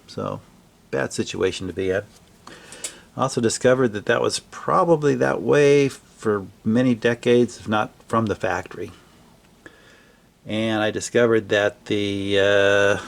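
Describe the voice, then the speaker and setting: A man casually narrating a hands-on repair demonstration in a halting, unscripted way, recorded in a home workshop on a camera mic. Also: lowish voice, creaky, slight background noise. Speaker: hobbyist repairer presenting his own video.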